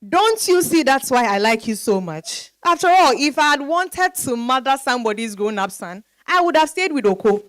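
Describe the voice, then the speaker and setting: A woman's voice: sits high, sing-song, moderate pace, few pauses, fairly bright tone. A Ghanaian woman acting the part of an educated, coy but frank woman being courted, in a dramatized stage reading.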